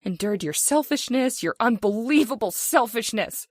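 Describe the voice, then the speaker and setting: Actress as high-voiced, emotional long-suffering wife. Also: female voice, animated, moderate pace, no echo, studio quality. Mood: bitter outburst. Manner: intense, emotional, pleading. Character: frightened, long-suffering middle-aged wife, bitter and pleading. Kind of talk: old-time radio horror acting.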